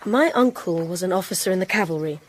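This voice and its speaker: An actress playing a proud, guarded rector's wife in a Victorian radio drama, speaking formally. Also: female voice, on the low side, animated, fast talker, slight background noise, close to an everyday voice. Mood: reserved, proud. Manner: formal, crisp-worded, defensive. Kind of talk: period radio drama acting.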